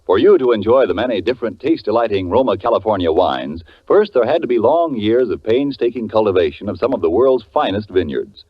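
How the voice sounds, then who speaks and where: A man's voice: fairly low pitch, lively intonation, a little fast, hardly any pauses, slightly rough. A man reading a 1940s radio advertisement as a polished announcer, with emphatic salesmanship. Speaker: commercial announcer. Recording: muffled 1940s radio recording.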